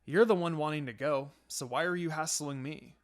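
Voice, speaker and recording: A man's voice: on the high side, normal speed, close to an everyday voice. Man as an aloof young anime ninja, music behind. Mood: annoyed. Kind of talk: dramatized fanfiction storybook reading.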